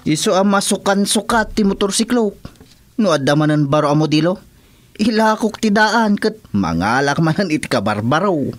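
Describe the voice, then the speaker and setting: A man's voice: high voice, expressive, moderate pace. A man acts a boastful young Ilocano nephew in a radio comedy. He sounds breezy and sure of himself, a carefree village daredevil. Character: cocky, carefree young motorbike-racing nephew. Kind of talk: rural radio comedy drama acting.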